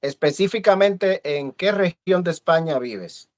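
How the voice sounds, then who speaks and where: A man's voice: sits high, expressive, a little slow. Hesitant male live-stream host. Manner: friendly, hesitant with many fillers. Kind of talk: casual live-stream interview. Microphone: video call.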